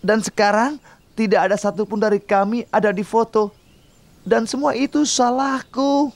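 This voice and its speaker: A man's voice: high-pitched, fairly even intonation, moderate pace, no room sound. A man voicing an eager young steam engine in a children's cartoon, hasty but apologetic and earnest. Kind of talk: dubbed children's cartoon acting.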